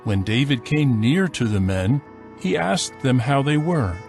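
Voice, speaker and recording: A man narrating scripture from a script in a solemn, measured storytelling manner. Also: mid-range voice, very animated, darkish tone, soft background music.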